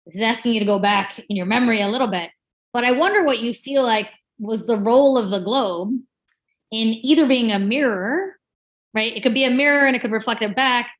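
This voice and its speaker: A woman moderating a panel, asking questions conversationally and in a measured way, repeating phrases for emphasis. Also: mid-range voice. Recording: dull, lo-fi audio.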